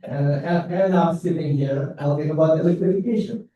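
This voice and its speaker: A man from Indonesia speaking on a panel, pausing and restarting phrases often in loose non-native grammar. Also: fairly high pitch, dark tone, dull audio. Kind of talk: panel discussion. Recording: echoey hall, mic at a distance.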